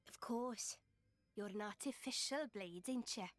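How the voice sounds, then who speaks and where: A woman's voice: on the high side, a little slow, no room sound, close to an everyday voice. A woman voicing a plucky, determined young noblewoman in a video game, informal and forthright. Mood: friendly.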